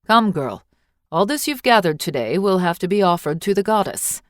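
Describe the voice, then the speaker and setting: A woman's voice: lowish voice, expressive, moderate pace, no echo, clean studio sound, close to an everyday voice. A woman voicing a brusque, practical village mother in a story, scolding and bossy. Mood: stern. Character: brusque, practical village mother, gruff but secretly fond.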